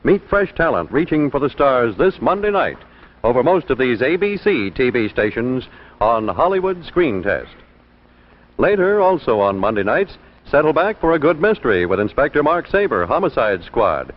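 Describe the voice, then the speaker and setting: A man's voice: mid-range voice, big pitch swings, moderate pace. A man announcing programme promotions from a script in 1950s broadcast style. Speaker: television announcer. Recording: dull old 1950s broadcast.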